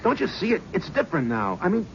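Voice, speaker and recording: A cynical young agency gofer. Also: male voice, very high voice, fast, creaky, exaggerated voice. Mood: brusque. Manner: brusque, flippant, wisecracking. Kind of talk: 1970s radio drama acting with narration. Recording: muffled old radio broadcast, faint hiss.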